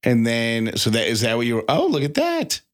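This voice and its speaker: Halting, conversational man co-host. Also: medium pitch, wildly swooping pitch. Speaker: podcast co-host. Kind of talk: casual conversational podcast chat.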